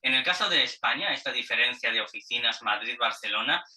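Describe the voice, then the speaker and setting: Very bright-voiced Spanish male interviewer, distant mic. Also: mid-range voice, lively intonation. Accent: Spanish (Spain). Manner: hesitant, deliberate, repeating words. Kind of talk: long, discursive interview questions.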